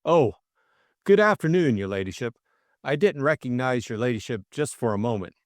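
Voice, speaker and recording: A man acts out a nervous ex-footman in an audiobook story. He is deferential and hesitant, stumbling over polite replies. His pitch lifts and swings, and the recording is close and dry. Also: animated, moderate pace, dry recording, lightly acted. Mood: startled, deferential. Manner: hesitant, stammering, servile. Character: nervous, deferential male ex-footman caught off guard. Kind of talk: audiobook character voice.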